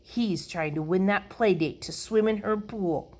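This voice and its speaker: A woman's voice: medium pitch, unhurried, a little mellow, close to an everyday voice. A woman voicing a jealous, self-righteous, competitive little schoolgirl in a storybook, with a scolding, emphatic delivery.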